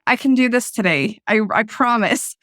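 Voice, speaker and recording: A woman with a fairly high voice hosts a relaxed podcast chat, talking easily while her voice is starting to go. She sounds close and dry on her own desk microphone at home. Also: moderate pace, no room sound. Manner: laid-back, chatty. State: losing her voice. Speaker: podcast host. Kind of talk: casual chat. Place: home room with blinds closed.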